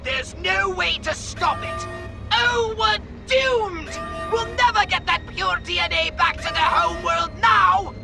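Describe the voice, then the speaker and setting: Shrill, gravelly alien commander. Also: male voice, exaggerated voice, rough recording. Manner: theatrical, declamatory. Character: melodramatic, fretful male alien commander. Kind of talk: video game cutscene acting.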